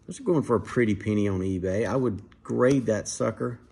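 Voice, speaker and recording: A man casually commenting to viewers while opening trading card packs at a dining table at home, in a relaxed, chatty way. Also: fairly low pitch, a little fast, vocal fry, slightly rough. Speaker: card breaker, channel host.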